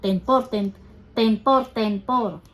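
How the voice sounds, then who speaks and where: A woman's voice: medium pitch, slow. A woman with a Filipino accent chatting casually and explaining a gadget hands-on in a demonstration. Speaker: vlogger unboxing and testing gadgets. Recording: clearly noisy background ambience.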